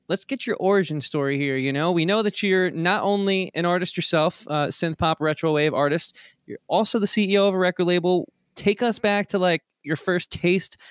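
A man's voice: fairly high pitch, a bit bright, clear, resonant tone, no room sound, clean studio sound. A man hosting a casual interview, asking loose, encouraging questions. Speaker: podcast host.

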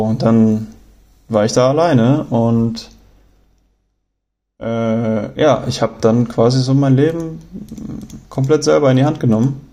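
A young man from Germany talking on his own in a relaxed, rambling way, often pausing with fillers and restarting sentences. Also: medium pitch. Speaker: podcast host. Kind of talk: casual spoken monologue.

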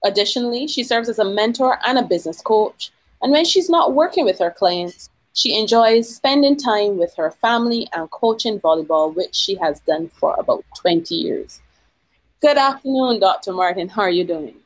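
A woman, a physician hosting a podcast, reading a formal introduction and then interviewing a guest in a measured way. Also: mid-range voice, animated, no room sound, poor audio.

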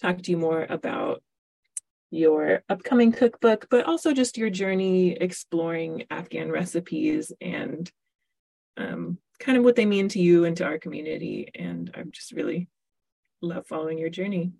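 Woman podcast host, chatty and laughing, raspy with some fry in a lowish voice; dry close-mic sound. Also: normal speed. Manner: warm chatty, laughs often, many fillers. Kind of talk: casual interview.

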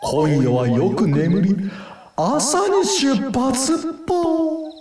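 Elderly wise owl, pompous, hooting tic, mellow tone, lively pitch, old-fashioned speech, audio drama, roomy sound. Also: extremely slow, recorded from a distance, full character voice. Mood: flustered, hooting. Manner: old-fashioned, ponderous, theatrical.

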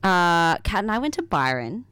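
Young woman co-host, lowish and lively voice, dry close sound. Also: moderate pace, clean tone, no echo. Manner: animated, laughing storyteller. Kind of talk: casual chat.

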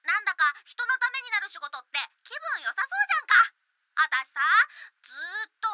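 A bubbly young idol in a radio drama. Her voice is extremely high and piercingly bright, with swooping, girlish slang. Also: sing-song, fairly quick, dry recording, clean studio sound, heavily acted. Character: bubbly, carefree young female underground idol.

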